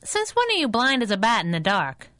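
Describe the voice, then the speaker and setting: An actress playing a brash, wisecracking young superhero sidekick in a 1930s-style radio drama. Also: female voice, on the high side, wildly swooping pitch, brisk, bright, forceful tone, no room sound, studio quality, heavily acted. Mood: teasing. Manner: wisecracking, playful.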